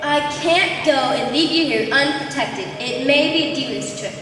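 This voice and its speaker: A woman's voice: high-pitched, normal speed, close to an everyday voice. A female performer playing a loyal, cautious young prince in a school stage play, speaking earnestly. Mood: wary. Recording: echoey noisy gym, far from mic.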